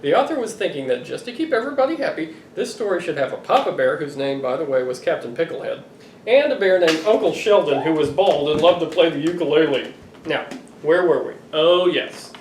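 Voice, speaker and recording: A middle-aged man reading a picture book aloud to children, expressive and playfully exasperated. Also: fairly high pitch, brisk. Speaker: father reading to his children. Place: living room sofa, indoors. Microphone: camera a few feet away. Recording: roomy, distant home recording with noise.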